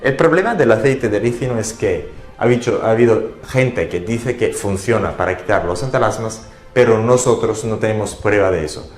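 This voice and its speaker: Italian born guy talking aesthetics biz, kinda low voice, lots of ehs, echoey room w/ faint music. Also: animated, normal speed. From Italy. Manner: hesitant, many fillers and restarts. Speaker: aesthetics business founder. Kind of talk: promotional talk and interview.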